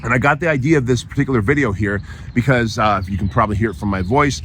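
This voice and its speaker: A hoarse man hosting a podcast, delivering an emphatic motivational monologue and often checking in with "right?". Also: mid-range voice, quick pace, a bit bright, slight background noise. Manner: emphatic, repeats phrases, frequent tag "right?". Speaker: podcast host.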